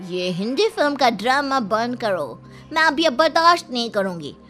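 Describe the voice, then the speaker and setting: A woman's voice: a little high, sing-song, moderate pace, bright, forceful tone, faint music behind. A woman voicing a stern, threatening middle-aged Chinese mother-in-law, a scolding villain in a cartoon story. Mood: angry, commanding. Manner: scolding, menacing.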